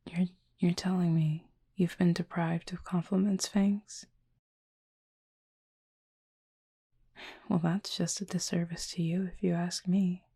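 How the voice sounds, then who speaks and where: Casting: gentle Cupid roommate, played by a woman, ASMR roleplay; husky, breathy, evenly pitched, measured and quietly affectionate. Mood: gently teasing, caring. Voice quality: soft, breathy, husky, often near-whisper. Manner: slow, measured, formal storytelling with soft pauses. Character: gentle adult Cupid roommate, wistful, affectionate, softly teasing. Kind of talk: intimate ASMR audio roleplay.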